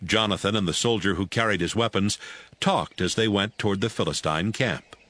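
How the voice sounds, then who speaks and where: A man narrating scripture from a script in a measured storytelling manner. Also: fairly low pitch, a bit bright, dry recording.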